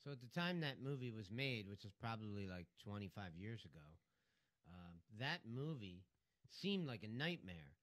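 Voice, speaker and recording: A man's voice: on the low side, big pitch swings, moderate pace, a bit bright. A man with a Philadelphia accent, co-hosting a casual radio show about real estate and telling wry stories. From Philadelphia area, US. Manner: storytelling, wry, joking.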